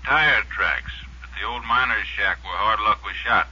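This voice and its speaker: A man's voice: on the low side, normal speed, bright, forceful tone, slightly rough, heavily acted. An American actor playing a helpful, plain-spoken resort man in a 1950s radio drama. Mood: earnest. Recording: muffled old radio recording, crowd-like murmur behind.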